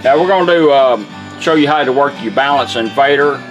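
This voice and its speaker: A man's voice: mid-range voice, fairly quick, low-quality recording. Folksy man demonstrating gear, music behind. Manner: folksy, plainspoken. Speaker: car radio restorer demonstrating a product. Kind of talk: casual product demonstration. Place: indoor workshop bench. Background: music playing from the radio being demonstrated.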